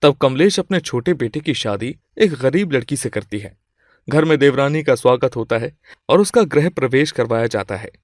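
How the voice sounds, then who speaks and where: A man's voice: mid-range voice, expressive, normal speed, dry recording, clean studio sound. A man reading scripted moral-story narration as the storyteller.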